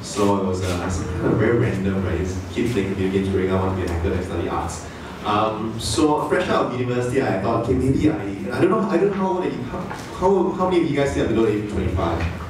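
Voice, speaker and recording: A man's voice: on the low side, animated, fast talker, crowd noise. A Malaysian man in his late twenties, founder, giving a chatty, self-deprecating conference talk full of fillers and restarts. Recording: echoey hall, mic far off, noisy.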